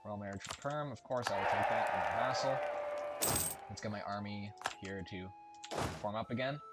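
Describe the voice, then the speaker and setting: A man with a lowish voice talks through his strategy game move by move, chatty and thinking aloud. He often corrects himself mid-sentence. Game music plays clearly behind him, with keys tapping. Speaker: game commentator. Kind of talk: casual let's-play commentary. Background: game music and keyboard typing.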